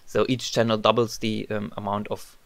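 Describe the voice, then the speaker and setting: A man's voice: on the low side, little pitch movement, normal speed, creaky, no room sound. A man with a German accent, a non-native English speaker, talking through a screen-recorded modding tutorial with many ums and uhs.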